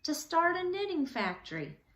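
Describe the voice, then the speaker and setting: A woman's voice: extremely high pitch, sing-song, normal speed, a bit bright, reverberant, pitched above the performer's own voice, full character voice. A woman voicing a suspicious, grumbling old uncle in a children's storybook, speaking emphatically. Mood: teasing.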